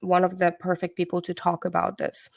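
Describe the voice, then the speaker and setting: Gravelly low-voiced woman hosting a stream. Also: monotone, fairly quick, dry recording, clean studio sound. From born in the USSR, Ukrainian background. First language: Russian. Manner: rambling, hesitant, full of restarts. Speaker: livestream host. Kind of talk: casual livestream commentary.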